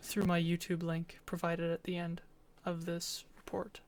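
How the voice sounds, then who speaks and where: A woman's voice: fairly low pitch, monotone, a little slow, very noisy. A woman giving an informal, matter-of-fact presentation as a student, explaining and narrating a game demo.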